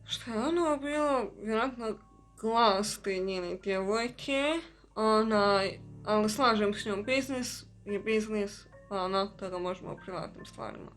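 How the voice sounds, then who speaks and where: A woman's voice: medium pitch, moderate pace. Woman commentating casually over game music. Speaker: gaming video host. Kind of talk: casual let's play commentary.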